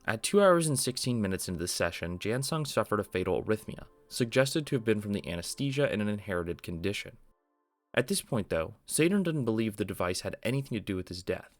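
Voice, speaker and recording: A young man hosting a video essay, reading scripted narration in a measured storytelling explainer style. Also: fairly low pitch. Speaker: video essay host explaining an analog horror series.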